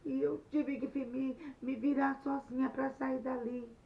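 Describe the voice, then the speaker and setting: A woman voicing a proud, angry schoolgirl who shouts and scolds in a storybook tale. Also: high-pitched, level tone, unhurried, creaky, roomy sound, pitched above the performer's own voice. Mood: accusing. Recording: distant, dull lo-fi recording.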